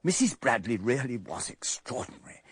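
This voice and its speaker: An actor playing a polite, thoughtful English gentleman sleuth who narrates a radio mystery, well-spoken and earnest. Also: medium pitch, big pitch swings, moderate pace, slight background noise, close to an everyday voice. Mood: reflective.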